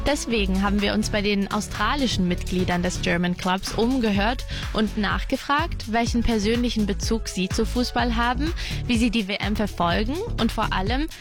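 A woman reading scripted narration as a reporter presenting a radio feature. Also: medium pitch. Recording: music playing behind the voice. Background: background music.

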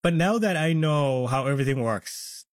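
A man's voice: fairly high pitch, lively intonation, moderate pace, no room sound, studio quality. A man in his forties from Somalia, reflecting plainly on his past in a radio interview. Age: 40s. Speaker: interview guest.

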